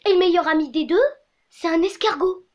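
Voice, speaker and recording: A woman with a high-pitched voice hosts a comic web show. She rants to camera in slangy, crude and exaggerated terms. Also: a little fast, highs cut off, background noise. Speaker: host of a comedy web show.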